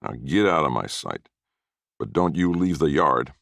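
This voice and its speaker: A man's voice: very deep voice, lively intonation, unhurried, vocal fry, fairly bright tone, no echo, clean studio sound, full character voice. A man voicing a mean, bullying old grandfather who barks threats and orders in an acted story reading. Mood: threatening. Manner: domineering, barking orders.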